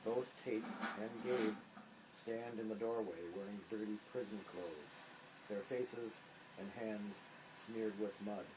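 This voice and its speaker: A man's voice: fairly low pitch, steady tone, takes it slowly, a little mellow, slight background noise, rough recording. A man reading stage directions as the narrator of a drama, in scripted narration.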